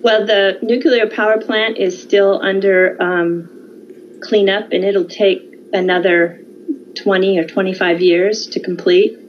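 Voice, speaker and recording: A woman's voice: medium pitch, fairly even intonation, slight background noise. Woman on dull lo-fi audio. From California, United States. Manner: measured, informative, with frequent um and uh pauses. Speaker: guest. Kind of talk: conversational interview.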